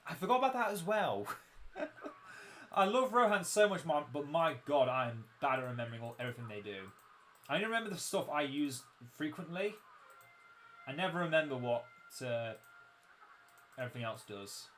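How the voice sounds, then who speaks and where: A young man with a fairly high, croaky voice streams a strategy game, chatting easily and poking fun at his own memory. He explains unit buffs in a loose, friendly way into a desk mic, with a faint hiss behind him. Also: lively intonation, moderate pace, long pauses, fairly bright tone. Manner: chatty, self-mocking, explains game mechanics. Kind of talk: casual livestream commentary.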